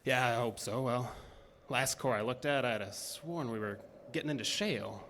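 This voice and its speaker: A man's voice: mid-range voice, moderate pace, fairly bright tone, close to an everyday voice. A male actor plays a nervy, worn-out geologist in a horror audio drama. He hesitates and doubles back on his words in a mid-range voice. Light ambient noise runs behind him. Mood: uneasy, puzzled. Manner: hesitant, stammering, repeats words. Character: skinny, jittery, weary male oil-field geologist. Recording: noisy track with ambient sound.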